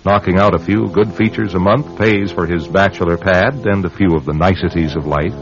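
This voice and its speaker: A man narrating a radio drama as announcer, with a portentous, deliberate, dramatic delivery. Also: deep voice, fairly even intonation. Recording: dull lo-fi radio recording. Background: music.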